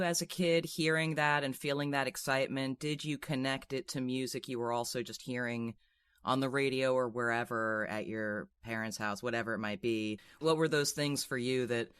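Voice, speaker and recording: A man's voice: mid-range voice, steady tone, moderate pace, fairly bright tone, smooth, dry recording, studio quality. A man interviewing in a conversational, thoughtful and attentive way, as a host does.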